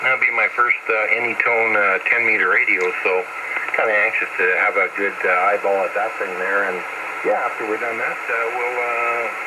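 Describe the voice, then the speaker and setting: Super staticky cb radio, guy, kinda low voice, rambly easygoing chat. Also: fairly even intonation, normal speed. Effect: two-way radio. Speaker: CB radio operator. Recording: very noisy, static-laden CB radio transmission.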